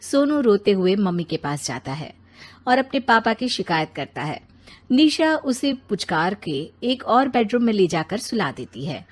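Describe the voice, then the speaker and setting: A woman's voice: mid-range voice, very animated, unhurried, no echo. A woman narrating a scripted moral story for a cartoon.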